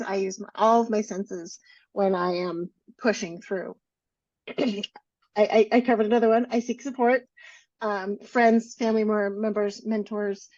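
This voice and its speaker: A woman's voice: medium pitch, darkish tone, dry recording. A woman co-hosting a coaching podcast, talking earnestly and encouragingly as she walks through practical steps. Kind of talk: casual podcast chat. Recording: dull, dry close mic.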